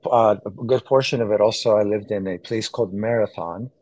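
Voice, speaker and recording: A man in his forties, born in Greece, chatting as an interview guest and often pausing on fillers and restarts. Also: on the low side, a little mellow, dry recording. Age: 40s. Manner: halting, many fillers and restarts. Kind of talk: casual interview chat.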